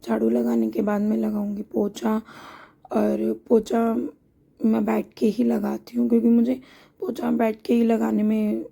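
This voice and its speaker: A hoarse woman vlogger narrating her daily home routine in a chatty, homely way, explaining each step as she goes. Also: a little high, level tone, measured pace, dark tone. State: hoarse from a sore throat.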